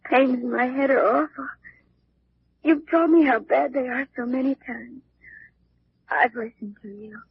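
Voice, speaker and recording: Fragile young heiress: slow, very husky, creaky, plaintive, old radio drama. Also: female voice, high voice, exaggerated voice. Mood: drowsy, pained. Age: young adult. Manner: drowsy, plaintive, halting. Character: troubled young heiress, drowsy, frightened, suffering. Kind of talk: 1940s radio mystery acting. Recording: dull old radio recording.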